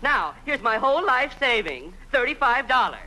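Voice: mid-range voice, big pitch swings, moderate pace, sharp tone. An actor playing a naive, eager young boy tourist with wide-eyed gee-whiz slang in an old radio comedy sketch. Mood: excited, naive. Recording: noisy old radio broadcast recording.

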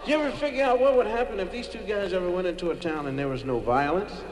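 A man's voice: high-pitched, fast. A man doing a casual, bantering live comedy routine as a stand-up comedian. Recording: echoey hall, crowd, noisy old recording.

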